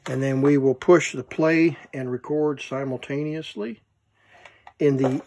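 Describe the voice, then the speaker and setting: A man who collects vintage gear gives a casual, unscripted hands-on demonstration, thinking aloud in halting short fragments. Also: mid-range voice, steady tone, darkish tone. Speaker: vintage electronics collector presenting his item.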